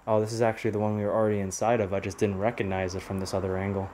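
A young man filming himself as he explores a ruined town, narrating what he finds in a curious, hushed way. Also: on the low side, level tone, racing, background noise. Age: young adult. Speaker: urban explorer vlogger. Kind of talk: walking exploration commentary. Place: outdoors and inside ruined buildings. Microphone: handheld camera held at arm's length.

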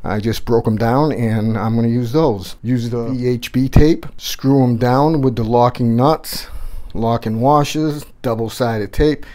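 A man's voice: mid-range voice, measured pace, darkish tone. A middle-aged man hosting a tech vlog, talking casually and loosely through a home-built setup in his gaming room while filming with a handheld phone. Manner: casual, rambling, slangy. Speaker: tech and gaming channel host. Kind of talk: casual build walkthrough vlog. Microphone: handheld phone camera.

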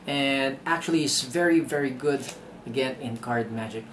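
A man's voice: mid-range voice, normal speed, a bit bright, a little hiss or hum. A young man with a Filipino accent speaking casually to camera as host of a reaction channel. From Philippines. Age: young adult. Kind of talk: casual vlog commentary to camera. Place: indoors by a brick wall.

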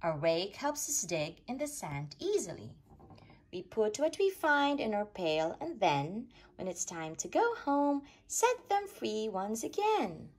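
Woman doing storytime for little kids, kinda husky voice, sing-song and really up and down. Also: mid-range voice, big pitch swings, measured pace, a little mellow. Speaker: storytime reader. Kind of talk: read-aloud of a rhyming children's picture book.

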